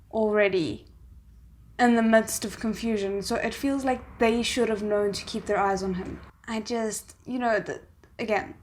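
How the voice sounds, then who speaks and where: A woman's voice: fairly high pitch, a little hiss or hum. A woman hosting a reaction video, commenting casually and thinking aloud in a hesitant way.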